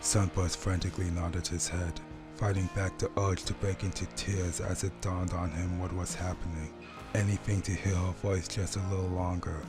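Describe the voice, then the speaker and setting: Low-voiced man narrating a story. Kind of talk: dramatic scripted fan fiction narration.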